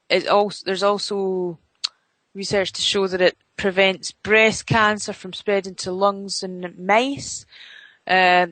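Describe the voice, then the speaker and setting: A woman's voice: medium pitch, a bit bright, no echo, rough recording. A woman hosting an internet radio show, chatting casually and rambling with many fillers. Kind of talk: casual on-air chat.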